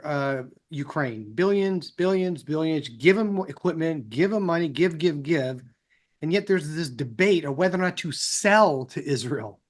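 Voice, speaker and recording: A man hosting an interview, rambling and piling up repeated words as he sets up his question. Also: fairly high pitch. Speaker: interview host. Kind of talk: conversational interview.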